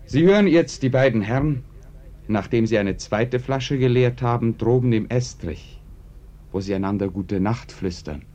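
Mellow, measured male radio narrator. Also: mid-range voice. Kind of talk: scripted narration addressed to listeners. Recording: muffled old radio recording.

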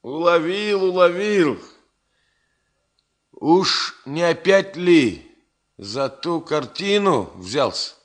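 An actor playing a wry, stoical middle-aged engineer in a radio drama, teasing and ironic. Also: male voice, on the high side, animated, extremely slow, long pauses. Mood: warm curiosity. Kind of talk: Soviet radio drama acting.

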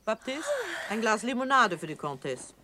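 An actress playing a severe, pious elderly marquise in a German radio play, haughty, dry and imperious. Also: female voice, fairly high pitch, sing-song, normal speed, background noise. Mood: dry, stern. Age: older.

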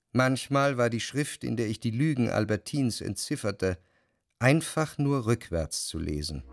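An actor playing a jealous, introspective lover who narrates in the first person in a measured, deliberate way, in a literary radio drama. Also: male voice, mid-range voice, gravelly. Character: jealous, brooding male lover recounting his obsessions. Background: music.

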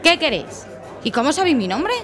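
High-pitched young female clerk, played by a woman, sarcastic, irritable, lively intonation, audio drama. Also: brisk. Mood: wary, annoyed. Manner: sarcastic, exasperated. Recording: voice over music and bar crowd.

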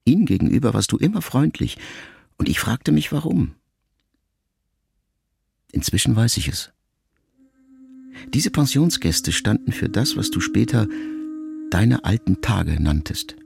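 A man reading a literary text aloud as an audiobook, in a measured, reflective way with long pauses. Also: lowish voice, big pitch swings, vocal fry. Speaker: audiobook reader. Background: soft music.

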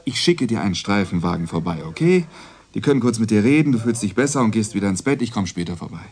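A man's voice: fairly low pitch, lively intonation, fast, darkish tone, breathy edge. A male actor playing a young policeman on night duty in a radio play, weary and placating with a trying relative. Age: young adult. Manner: placating, exasperated.

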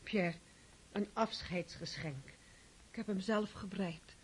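A woman's voice: medium pitch, takes it slowly, lightly acted, rough recording. An actress playing a contrite, demure Russian noblewoman in a radio play. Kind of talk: radio drama acting. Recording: faintly hissy old radio recording.

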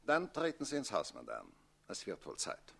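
An actor playing an imperious count, the commanding master of the house in a radio comedy. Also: male voice, lowish voice, huge pitch swings, normal speed, vocal fry, a bit bright, breathy edge, heavily acted. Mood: curt, commanding. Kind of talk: German radio play of a classic stage comedy.

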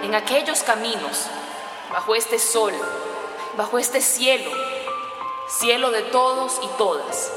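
A woman playing an earnest traveller in a radio drama, giving a measured, solemn speech to a festival crowd. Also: on the high side. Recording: echoey hall sound, music behind.

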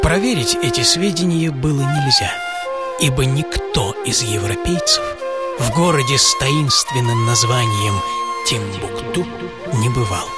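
A man narrating a scripted radio documentary in a theatrical storytelling manner, with deliberate pauses. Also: medium pitch. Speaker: narrator and author of a radio travel series. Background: music bed.

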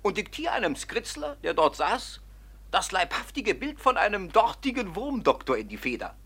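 Gloating male bandit for radio drama: highish, very animated, theatrical. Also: normal speed, breathy edge, exaggerated voice. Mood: gleeful boasting. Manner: gloating, swaggering. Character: boastful, gleefully wicked male bandit storyteller.

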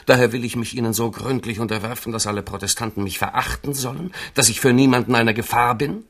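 A man's voice: medium pitch, quick pace, fairly bright tone, husky. An actor playing a young king's loyal, scheming companion, insistent and rhetorical, in a radio play. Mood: bitterly sarcastic. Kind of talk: 1970s radio play acting.

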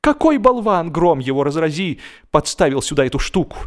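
An excitable young gentleman in a comic audio story. The voice jumps high and shrill, full of exaggerated indignation and grumbling. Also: brisk. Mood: angry.

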